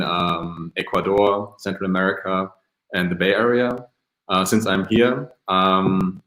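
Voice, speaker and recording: A founder from Germany, a native German speaker, introducing himself haltingly at a panel. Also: male voice, sits low, fairly bright tone. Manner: halting, with many ums. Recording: echoey room.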